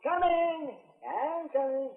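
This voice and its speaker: A man's voice: very high voice, expressive, takes it slowly, heavily acted. A man playing a fussy, crotchety old American town doctor in a radio western, wordy in his answers. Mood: fussy, wry. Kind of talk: 1950s radio western acting. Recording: muffled vintage radio broadcast.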